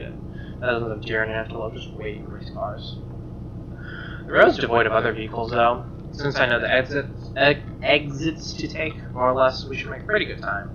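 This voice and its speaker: A man's voice: medium pitch, dull audio, noisy background. A man streamer reading a first-person visual novel aloud as narration. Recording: music behind the voice.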